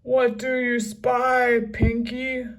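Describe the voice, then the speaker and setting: A woman's voice: on the high side, monotone, extremely slow, distant mic, pitched above the performer's own voice. A woman voicing a big, mean, gold-greedy pirate captain in a children's storybook, roaring and growling out orders. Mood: barking orders. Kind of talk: children's storybook read-aloud.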